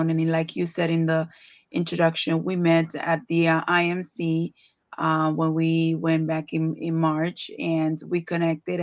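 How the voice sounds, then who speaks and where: Dominican-born woman, spanish is her first language, gravelly low voice, pretty flat, lots of ums. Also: no room sound. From Dominican Republic. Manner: earnest, with many ums and restarts. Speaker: guest speaker. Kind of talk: telling her life story.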